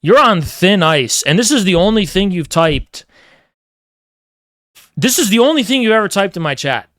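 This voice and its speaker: A man's voice: sits high, very animated, normal speed, fairly bright tone, no room sound. Dry-voiced rambling male streamer. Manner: rambling, opinionated, teasing chat. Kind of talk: casual live-stream talk with chat.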